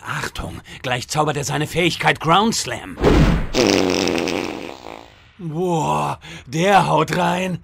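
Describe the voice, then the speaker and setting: A man plays a pedantic, childlike wizard in a comedy audio drama. The voice is high and creaky, explaining things slowly and excitably like a game nerd. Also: slight background noise, full character voice. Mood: pedantic, alarmed. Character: nerdy, childlike male wizard reciting tactics.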